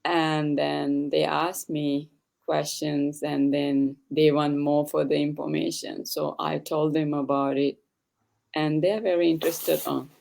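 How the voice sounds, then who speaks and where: Slow, gravelly-voiced woman from Sri Lanka. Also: low-pitched, flat delivery, darkish tone. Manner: slow, hesitant, halting non-native English. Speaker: guest asking questions. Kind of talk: casual video call interview.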